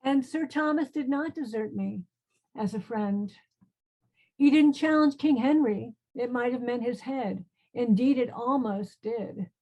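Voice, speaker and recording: A woman's voice: a little high, a little slow, warm tone, muffled recording. A woman acting an earnest, proud, devout condemned queen in an online play reading. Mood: solemn, resolute.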